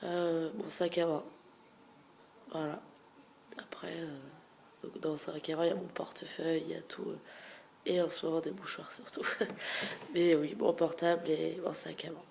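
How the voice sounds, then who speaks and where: A young woman with a stuffy head cold and a nasal, congested voice, chatting frankly through a question-and-answer video and often trailing off into soft murmurs. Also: deep voice, fairly even intonation, normal speed, creaky, pauses often, a little hiss or hum. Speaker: beauty vlogger. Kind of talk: casual Q&A tag video.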